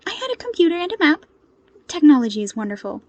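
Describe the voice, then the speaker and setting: A woman voicing a cheerful, modest young hero strategist in an audio story, with an earnest, gently exasperated manner. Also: high-pitched, big pitch swings, fast, voice pushed up. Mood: amused, exasperated.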